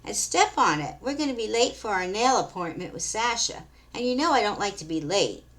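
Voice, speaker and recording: A woman's voice: very animated, fairly quick. A woman voicing a bossy, spoiled young valley girl who talks in a whiny, entitled way. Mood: impatient. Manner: whiny, entitled, high and loud.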